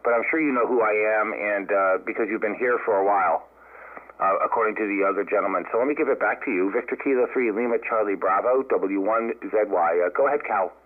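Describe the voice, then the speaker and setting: An American man working as an amateur radio operator, speaking haltingly in an unscripted exchange over a radio link. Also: on the low side. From United States. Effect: radio transmission. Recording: narrow band-limited ham radio audio. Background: radio band sound.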